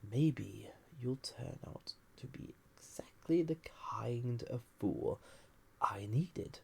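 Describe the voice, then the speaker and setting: A man playing a flirtatious, dangerous banished villain, ancient and lonely, in an intimate roleplay audio drama, breathy and often half-whispered, teasing with languid pauses. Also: medium pitch, expressive, warm tone, full character voice. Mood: charmed, sly.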